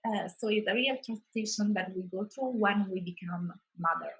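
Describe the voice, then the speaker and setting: A woman's voice: mid-range voice, moderate pace, rough recording. A woman in her thirties from Italy with an Italian accent, a wellbeing consultant speaking as an interview guest in a thoughtful way, with many restarts. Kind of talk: conversational interview.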